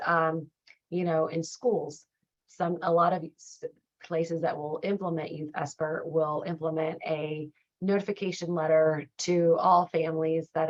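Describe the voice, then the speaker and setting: A woman with a low, slightly raspy voice that is starting to go, leading a training session. She explains in a measured, supportive, conversational way. The audio is dull and a bit muffled. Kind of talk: conversational slide presentation.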